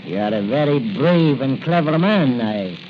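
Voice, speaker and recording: An actor playing a scheming, oily foreign spy captain with a foreign accent and stilted, over-polite phrasing in a 1940s radio serial. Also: male voice, medium pitch, animated, normal speed, lightly acted. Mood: oily, bargaining. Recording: noisy, muffled 1940 radio recording.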